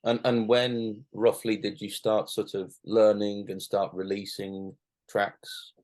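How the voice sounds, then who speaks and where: A male host with a fairly low voice runs a relaxed interview. He asks short, plain questions and keeps the guest going with steady "mm-hmm"s. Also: slow. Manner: brief questions, frequent "mm-hmm" backchannel. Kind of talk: casual interview.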